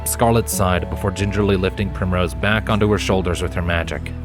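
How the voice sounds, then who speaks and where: A man with a low-pitched voice narrates a story in audiobook style. Soft music plays behind his scripted reading. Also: steady tone, brisk. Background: background music.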